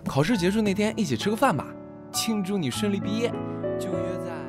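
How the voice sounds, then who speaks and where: A man playing an excitable, fussy young friend in an audio drama. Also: high-pitched, brisk, loud music behind the voice. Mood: friendly. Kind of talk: Chinese audio drama acting.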